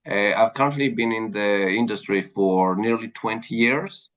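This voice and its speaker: A man's voice: lowish voice, normal speed, a bit bright. A man from Greece with a Greek accent, speaking earnestly as an interview guest about his career. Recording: dull lo-fi sound.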